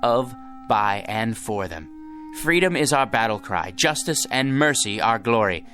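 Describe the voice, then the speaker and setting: An animated, hapless everyman with grand pretensions. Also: lowish voice, a little slow. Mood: pompous, presidential. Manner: theatrical, shifts from pompous to faltering. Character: hapless, grandiose everyman dreaming of being president, then genie. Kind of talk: radio drama acting. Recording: radio drama with music underneath.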